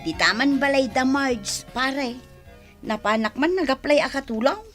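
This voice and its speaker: A brisk, encouraging village woman in an Ilocano radio drama. Her Ilocano-accented voice sits fairly high, with soft music behind it. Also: expressive.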